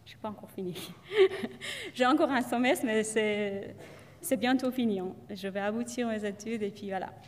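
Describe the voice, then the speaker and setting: A woman's voice: a little high, expressive, normal speed, slight background noise. Hesitant woman speaking in a hall. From Iran. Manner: hesitant, many fillers and restarts. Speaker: student speaker. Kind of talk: testimonial talk to an audience.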